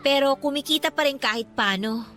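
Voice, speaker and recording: A woman's voice: high voice, fairly quick, a bit bright, soft background music. A woman acting a cheerful, devout daughter in her twenties in a Filipino radio drama, with a playful, respectful and earnest manner and a Filipino accent. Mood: earnest, upbeat. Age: 20s. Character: cheerful, devout, teasing 28-year-old daughter.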